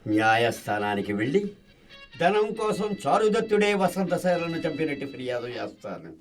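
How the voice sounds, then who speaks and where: A man's voice: fairly high pitch, sing-song, moderate pace, faint music behind. A man playing an imperious, sly, murderous schemer in a radio drama, commanding and gloating. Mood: gloating, scheming.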